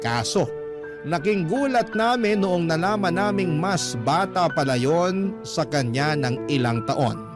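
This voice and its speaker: Male radio storyteller, slightly high voice, dramatic first-person letter reading over prominent background music. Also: lively intonation, a little slow.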